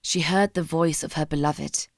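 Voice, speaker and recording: A woman narrator reads dramatic fiction in a somber, measured way. Her voice sits a little low, and the recording is dry and close to room-free. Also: steady tone, dry recording. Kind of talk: dramatic scripted story narration.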